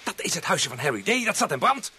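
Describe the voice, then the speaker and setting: A man's voice: sits high, sing-song, quick pace, pushed and bright, full character voice. A man playing an uneasy, suspicious policeman on night watch in a radio play, chummy and teasing with his colleague. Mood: alarmed. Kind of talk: Dutch radio play acting. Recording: noisy radio play recording.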